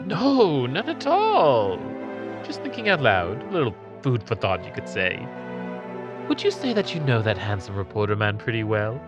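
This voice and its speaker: A man's voice: mid-range voice, huge pitch swings, normal speed, lo-fi sound, music in the background. A man playing a smug, needling district attorney in an audio drama, with a theatrical, mock-casual manner. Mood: smug, prying.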